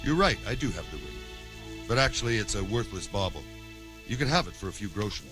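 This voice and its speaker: An actor playing a sly, grasping gravedigger who haggles, in a medieval video game. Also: male voice, lowish voice, big pitch swings, fairly quick, music in the background. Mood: sly, feigned reluctance.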